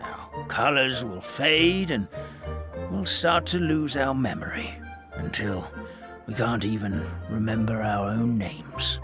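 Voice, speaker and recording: A man voicing a kindly, forgetful elderly forest creature in a video game, telling stories in a halting, rambling, theatrical way. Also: mid-range voice, close to an everyday voice. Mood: alarmed, earnest. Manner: halting, rambling, theatrical storytelling with stammered repeats. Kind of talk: video game character storytelling. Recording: studio voice over background music.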